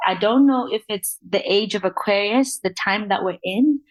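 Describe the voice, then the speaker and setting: A young woman from Lesotho speaks as a podcast guest, with a faint accent that blends Southern African and British sounds. She tells her story candidly and with animation, and she laughs easily as she talks. Her voice sits in a middle pitch. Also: normal speed, no echo, rough recording. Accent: faint mixed Southern African and British accent.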